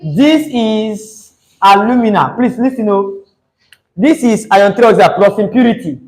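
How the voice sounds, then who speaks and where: A man's voice: high-pitched, expressive, a little slow, a little mellow, low-quality recording. A young Nigerian man with a Nigerian accent, teaching a chemistry class live, chatty and teasing, slipping into Pidgin. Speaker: chemistry tutor.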